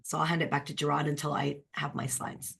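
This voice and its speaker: A hoarse woman in her forties talks on a video call, her voice strained by laryngitis. Her voice is fairly low, and she speaks informally with small hesitations. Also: little pitch movement, a bit bright. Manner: informal, a little halting. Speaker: community team lead. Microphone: computer mic on a video call.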